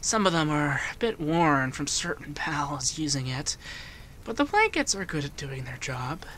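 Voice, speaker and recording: A man playing a doting, teasing feminine male monster companion in a softspoken, often whispered ASMR roleplay. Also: on the high side, very animated, a little slow, slight background noise, full character voice. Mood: easygoing, warm. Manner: breathy, often dropping to a whisper.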